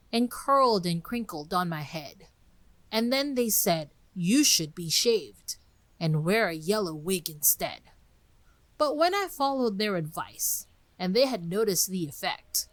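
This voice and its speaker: A woman voicing an old gray male wasp in a yellow wig, querulous and blunt, with rustic, uneducated turns of speech in a dramatic storybook reading. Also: medium pitch, no room sound.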